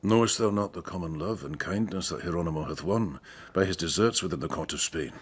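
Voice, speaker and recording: A man's voice: sits low, a little fast, slightly rough. An actor in a classical verse radio drama playing a stern, authoritative duke and father, speaking formally. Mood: stern, probing. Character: authoritative noble Spanish duke, an anxious father.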